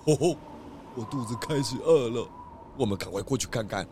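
A man's voice: medium pitch, animated, a little fast, a little mellow, slight background noise, lightly acted. Man playing a bossy, comic police detective chief. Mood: grumbling. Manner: explanatory, scolding, theatrical. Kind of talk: children's audio drama acting. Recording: music behind the voice.

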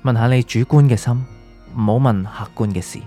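A man's voice: medium pitch, steady tone, brisk, warm tone, lightly acted. An actor with a Hong Kong Cantonese accent playing an enigmatic, secretive male doctor in a radio drama, speaking in a cryptic, philosophical way. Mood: cryptic, solemn. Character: enigmatic male doctor, secretive guide offering fateful choices. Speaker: supporting character in a radio drama. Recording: music under the voice.

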